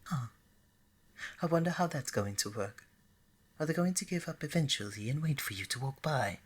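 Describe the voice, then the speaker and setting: Casting: woman as a lovestruck deity of romance for ASMR roleplay, very low and breathy, flirty, coaxing, scatterbrained. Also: lively intonation, normal speed, heavily acted. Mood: sheepish, deflated. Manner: playful, coaxing, bubbly and hesitant by turns.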